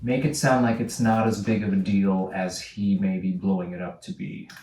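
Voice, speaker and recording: A man working as a director, giving actors casual, measured feedback and searching for his words. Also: sits low, flat delivery, a little mellow, echoey room, faint music behind.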